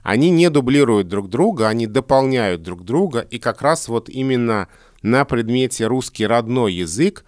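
A man co-hosting a podcast, explaining school language courses in a conversational way, with animated rises in pitch. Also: moderate pace, no room sound, clean studio sound. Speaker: podcast co-host, language expert.

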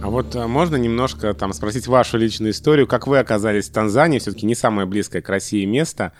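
A man's voice: fairly low pitch, quick pace, over music. A man co-hosting an interview, asking casual conversational questions. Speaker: interviewer, co-host.

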